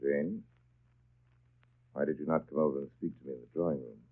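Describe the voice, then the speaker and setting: An actor playing a brooding, commanding master of a country house in 1930s radio drama style, with an imperious, abrupt and intense manner. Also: male voice, a bass voice, steady tone, a little fast. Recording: dull 1930s radio broadcast recording.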